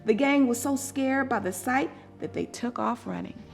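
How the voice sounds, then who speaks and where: A woman reading a children's story aloud in a deliberate, expressive and dramatic storytelling manner. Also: on the high side, creaky, faint music behind. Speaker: storybook reader.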